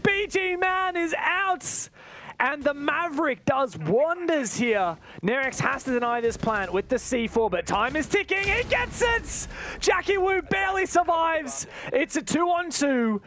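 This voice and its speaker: A man commentating an esports match live, play by play, in an excitable manner. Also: extremely high pitch, measured pace, a little hiss or hum. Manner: excitable, exclaiming at big plays.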